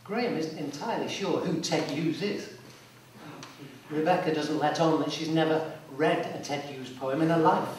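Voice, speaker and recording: Echoey male storyteller, measured and deliberate. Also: on the high side. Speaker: narrator. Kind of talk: scripted dramatic narration, read live. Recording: distant, very echoey hall, clear noise.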